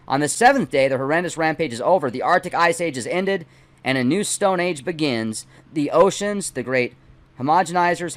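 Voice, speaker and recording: A middle-aged man, not a native English speaker, hosting and reading aloud in deliberate, emphatic phrases with casual asides. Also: mid-range voice. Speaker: host reading a book aloud and commenting. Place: small dim indoor home studio.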